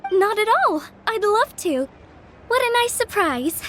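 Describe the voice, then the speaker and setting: High-voiced, scholarly young lady. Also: lively intonation, fairly bright tone, exaggerated voice. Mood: courteous. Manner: polite, measured, articulate. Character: polite, scholarly young woman versed in legends. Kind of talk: video game voice acting, storytelling. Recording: faint music behind. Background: game music.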